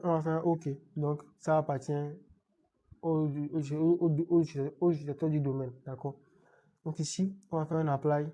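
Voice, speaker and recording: A man with a head cold walks through a computer setup in an unscripted screencast tutorial. He stumbles and repeats words, pausing often to check in with the viewer. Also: on the high side, mellow, muffled recording. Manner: halting, many repeated words and restarts. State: has a cold, clearing throat. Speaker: IT tutorial presenter.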